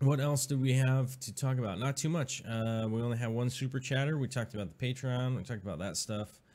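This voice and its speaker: A man's voice: mid-range voice, brisk, darkish tone. A man hosting a casual live stream, rambling with many fillers and restarts. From Pacific Northwest, USA.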